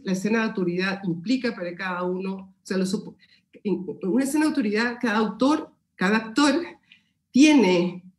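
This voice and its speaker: A woman's voice: medium pitch, a little mellow, reverberant. A Peruvian woman speaking Spanish with a Peruvian accent, giving a thoughtful academic seminar answer with many fillers and restarts. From Peru. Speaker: sociology lecturer answering questions.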